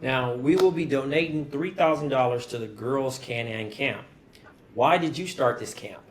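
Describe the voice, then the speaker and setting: Casual male interviewer, mid pitch. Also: normal speed. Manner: casual, conversational, friendly asides. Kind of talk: interview questions.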